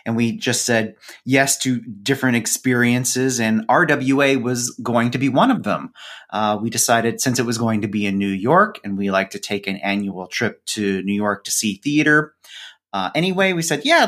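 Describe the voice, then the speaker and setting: A man's voice: medium pitch, fairly bright tone. A man speaking as a podcast guest in a casual conversation, telling stories in a chatty, self-deprecating way.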